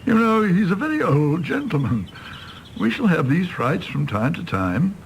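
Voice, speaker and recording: Doctor, creaky voice, dry and unruffled, radio play, faint background noise. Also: male voice, high-pitched, big pitch swings, moderate pace. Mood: blunt, resigned. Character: blunt, unruffled country doctor, drily worldly. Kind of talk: British radio drama acting.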